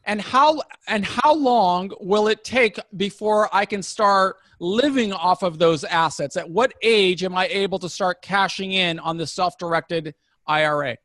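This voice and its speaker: Male interviewer, fifties, high-pitched voice, dry close sound, inquisitive and methodical, talks conversationally. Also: unhurried, a bit bright, no room sound. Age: 50s. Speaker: interviewer asking about retirement investing.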